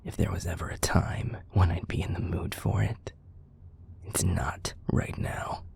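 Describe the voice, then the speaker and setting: A man playing a bitter, menacing werewolf pack leader in an audio roleplay, profane and commanding in a breathy growl. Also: low voice, steady tone, a little slow, darkish tone, in a whisper, full character voice. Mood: weary, cold contempt. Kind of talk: audio roleplay drama acting.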